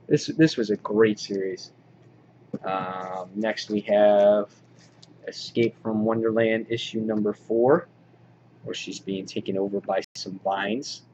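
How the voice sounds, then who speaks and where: A man giving an informal, halting show-and-tell commentary as a hobby vlog host, in an understated way. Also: fairly low pitch, little pitch movement, darkish tone. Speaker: vlog host showing a comic book collection. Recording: dull lo-fi audio, clear background noise.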